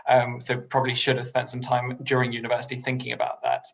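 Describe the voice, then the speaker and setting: A man's voice: medium pitch, completely flat delivery, fast, creaky, low-quality recording. A middle-aged man from England, a podcast guest, talking politely and modestly with many ums and small laughs. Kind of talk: casual interview chat.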